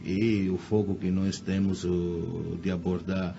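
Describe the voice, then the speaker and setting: A man's voice: low-pitched, flat delivery, slow, vocal fry, dark tone. A man from Italy, speaking with an Italian accent, answers in a radio interview, often repeating words while he searches for the right Portuguese. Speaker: guest lecturer. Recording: muffled radio recording with faint noise.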